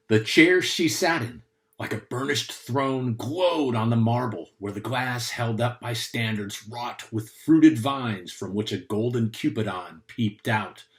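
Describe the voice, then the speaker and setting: A man giving a dramatic poetry recitation, reading in a deliberate, theatrical manner. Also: mid-range voice, expressive. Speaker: poetry reader, host.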